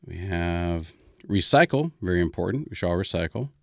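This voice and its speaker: Male storyteller, lowish voice, dry close sound, informal ad-lib delivery with thinking-aloud pauses. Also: animated, creaky, no room sound. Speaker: storytelling podcast host. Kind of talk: casual improvised storytelling.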